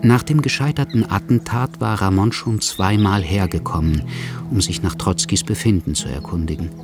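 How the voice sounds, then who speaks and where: A man's voice: low voice, moderate pace. A man reading scripted narration for a radio drama as its narrator. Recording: studio production with music underneath.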